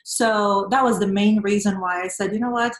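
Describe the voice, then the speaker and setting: A woman with a Venezuelan Spanish accent tells her story as an interview guest. Her voice sits at a middling pitch and she speaks in an earnest, reflective way. The sound is roomy, with noticeable echo. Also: fairly even intonation, normal speed. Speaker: interview guest, nonprofit professional. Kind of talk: conversational interview, personal storytelling.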